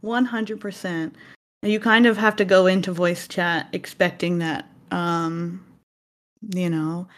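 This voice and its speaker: A woman hosts a relaxed interview, chatting in a giggly, open way. Her voice sits a little low for a woman, and her delivery is casual and loose. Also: measured pace. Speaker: podcast host.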